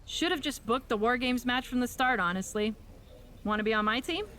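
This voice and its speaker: Game villain: scheming, snide female wrestler, fairly high voice, light background ambience. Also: animated, brisk, a bit bright, slight background noise. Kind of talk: scripted game cutscene dialogue.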